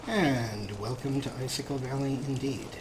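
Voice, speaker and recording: A man's voice: mid-range voice, normal speed, noisy background. A man hosting a let's play, giving casual game commentary with wry, dry humor. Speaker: gaming video host.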